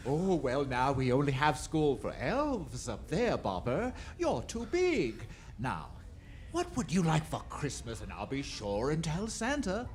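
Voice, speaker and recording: A woman's voice: deep voice, wildly swooping pitch, unhurried, darkish tone, slight background noise, heavily acted. A woman playing an effusive, chuckling costumed store Jack Frost in an old-time radio drama. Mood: jolly, indulgent. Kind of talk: 1940s detective radio drama acting.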